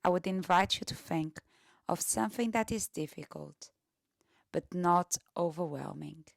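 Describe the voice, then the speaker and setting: Female meditation guide: lowish, very gravelly fry, gentle and hushed delivery, recorded close and dry. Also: a little slow, no echo.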